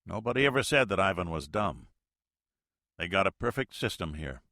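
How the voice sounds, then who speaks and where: A man playing a blunt, gruff submarine captain. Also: low-pitched, big pitch swings, bright, forceful tone, no echo, clean studio sound, heavily acted. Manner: blunt, profane, measured.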